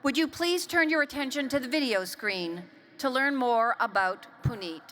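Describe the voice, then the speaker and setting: A woman's voice: high-pitched, normal speed, sharp tone, a little hiss or hum. A woman presenting awards at a graduation ceremony, speaking formally and measuredly. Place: large outdoor stadium.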